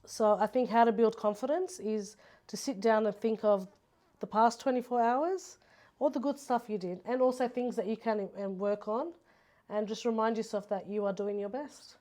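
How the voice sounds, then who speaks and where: Mellow Australian woman in casual conversation. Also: fairly high pitch, moderate pace. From Sydney, Australia. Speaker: podcast guest, makeup artist.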